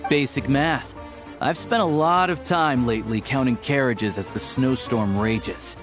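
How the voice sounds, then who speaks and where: A man's voice: medium pitch, a little slow, lightly acted. A man playing a shrewd hunter in a video game, speaking with blunt, matter-of-fact confidence. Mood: smug, explaining. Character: shrewd, blunt male hunter turned investigator. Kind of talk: dramatic video game voice acting. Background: faint background music.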